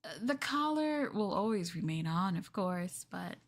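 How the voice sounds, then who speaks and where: Woman acting a slow, sweetly possessive yandere girlfriend. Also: mid-range voice, sing-song. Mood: lovestruck, possessive. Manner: cooing, breathy asides, coaxing tag questions. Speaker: ASMR roleplay streamer. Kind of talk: ASMR girlfriend roleplay, addressing the listener intimately.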